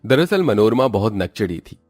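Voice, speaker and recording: A man's voice: on the low side, very animated, moderate pace, a little mellow, dry recording, soft background music. A man reading scripted narration as the storyteller of a cartoon moral tale.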